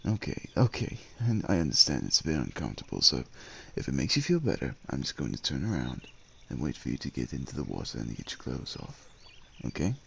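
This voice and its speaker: Man voicing a very deep gravelly devoted werewolf suitor. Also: big pitch swings, fairly quick, hardly any pauses, exaggerated voice. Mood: gentle, reassuring. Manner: hesitant, tender, old-fashioned courtly phrasing with tag questions. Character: devoted, gentle, nervously jealous male werewolf suitor. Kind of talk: ASMR roleplay, acted monologue addressed to the listener.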